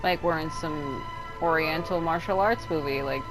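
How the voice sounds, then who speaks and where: A woman comments casually on a video game as she plays. Her voice is on the low side, blunt and reactive, with game music clearly behind her. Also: moderate pace. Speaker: game streamer. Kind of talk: casual let's-play commentary.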